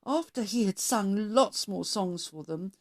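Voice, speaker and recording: A woman narrating a children's story as a read-aloud host, expressive and measured in her delivery. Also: medium pitch.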